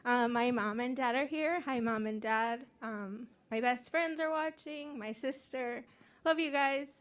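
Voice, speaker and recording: Young Virginian female graduate, high voice, unsure and halting. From Arlington, Virginia. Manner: tentative, with fillers. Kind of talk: short interview answers.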